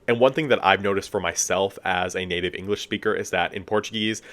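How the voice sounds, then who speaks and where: A man talks through a review in a chatty, expressive way. He fills his talk with casual asides and filler words. His voice sits fairly low. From United States. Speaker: video reviewer. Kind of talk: casual commentary.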